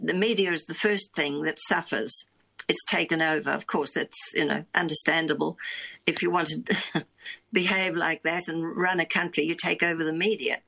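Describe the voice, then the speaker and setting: A woman's voice: sits low, steady tone, normal speed, vocal fry, bright, forceful tone, breathy edge. A woman in her nineties from New Zealand, a guest on a radio interview, talking in a reflective, meandering way with many restarts. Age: 90s. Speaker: guest, founding member of a broadcaster supporters' group.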